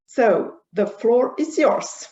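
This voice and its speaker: A woman's voice: fairly high pitch, animated, slow, dark tone, lo-fi sound. A woman moderating a webinar who thanks the speakers and introduces the next guest courteously and formally.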